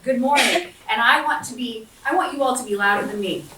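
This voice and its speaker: A high-pitched American woman speaks loudly to a roomful of people, her voice projecting as she walks back and forth. She is picked up from a distance, so her voice sounds roomy with echo and a faint hiss underneath. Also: fairly quick. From Southwestern West Virginia, USA. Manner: loud, projecting, walks around while speaking. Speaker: guest speaker and center director. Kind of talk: lively motivational talk to an audience. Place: large indoor event room. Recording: echoey room, voice from far off, faint noise.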